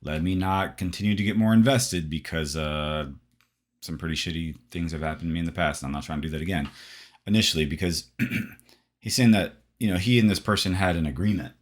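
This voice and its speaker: Young wisconsin guy on a podcast, super deep husky voice, laid back and thoughtful. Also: fairly quick. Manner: easygoing, thoughtful, laughs easily. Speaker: guest co-host, the host's boyfriend. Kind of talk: casual podcast advice chat.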